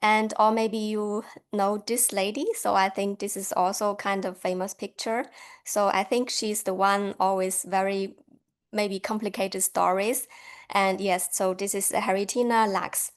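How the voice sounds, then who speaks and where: A woman's voice: medium pitch, little pitch movement, a little slow, clean tone. Woman giving an online lecture. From China. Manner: friendly, with frequent light laughs. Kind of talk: academic lecture over video call.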